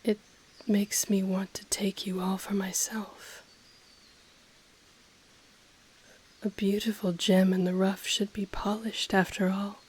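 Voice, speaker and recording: A woman voicing an ancient female dragon in an intimate audio roleplay, breathy and often whispering, haughty yet tender, with teasing, seductive, formally worded speech. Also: medium pitch, darkish tone, poor audio. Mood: possessive, tender. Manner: slow, teasing, formal archaic phrasing.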